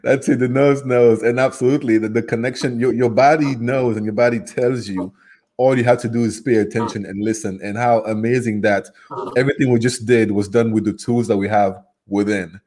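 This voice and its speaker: A man's voice: medium pitch, steady tone, brisk, a little mellow. A man in his thirties from Cameroon, a native French speaker with a Cameroonian French accent, talking as an upbeat, earnest interview guest. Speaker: guest, program facilitator. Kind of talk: casual interview talk.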